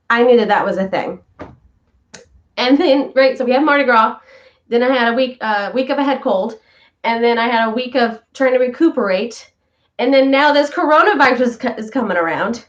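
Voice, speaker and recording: A woman's voice: fairly high pitch, normal speed, muffled recording. A woman hosting a casual morning vlog from home, talking in a chatty, rambling way while a little stuffy from sinus trouble. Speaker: vlog host, voice worker. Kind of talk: casual morning chat to viewers.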